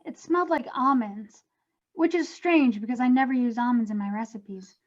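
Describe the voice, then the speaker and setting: Casting: woman as doting middle-aged cartoon ghost mom, chatty and fussy, high register, slightly muffled recording. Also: normal speed, lightly acted. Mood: puzzled recalling. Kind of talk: animated comedy table read. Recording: dull lo-fi microphone.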